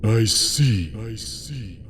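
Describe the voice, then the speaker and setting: A mysterious unseen cosmic being from a visual novel, acted out by a man. It speaks in short, measured, cryptic phrases. It is curious about the listener and gently amused. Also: lowish voice, animated, voice pushed down. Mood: solemn, probing.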